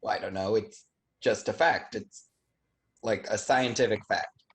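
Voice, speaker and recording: Brief: actor as a young male student, lowish voice, hesitant, casual. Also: unhurried, fairly bright tone. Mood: unsure. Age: young adult. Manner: hesitant, casual, filler-heavy.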